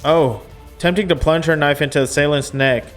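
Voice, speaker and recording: A man's voice: a little high, a little fast, faint music behind. A man reading a visual novel aloud as a game streamer, mixing narration with casual reactions.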